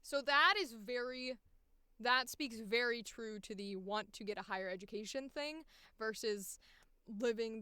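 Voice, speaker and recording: A woman voice actor chatting casually on a livestream, thinking aloud in an informal, animated way, close to the microphone. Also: fairly high pitch, clean tone, dry recording. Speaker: voice actor recording auditions.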